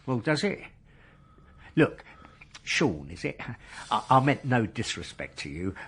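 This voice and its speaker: A husky-voiced male car dealer in a radio drama, cornered by police. He blusters and justifies himself, aggrieved and sorry for himself at mid pitch. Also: very animated, unhurried, fairly bright tone, exaggerated voice. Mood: self-pitying, indignant. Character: defensive, self-pitying, aggrieved male car dealer under police questioning. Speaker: complainant in a police interview.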